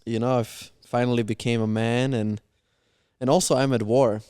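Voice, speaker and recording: A man's voice: medium pitch, fairly even intonation, moderate pace, a little mellow, smooth, no echo, clean studio sound. A man from Austria, a podcast guest talking bluntly and opinionatedly in casual chat. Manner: blunt, opinionated, swears freely.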